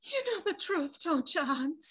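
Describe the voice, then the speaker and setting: Super high voiced scared young girl in an audio drama, tearful n insistent, bright sound. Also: big pitch swings, unhurried, exaggerated voice. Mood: anguished insistence. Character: frightened, tearful young niece, emotional but insistent.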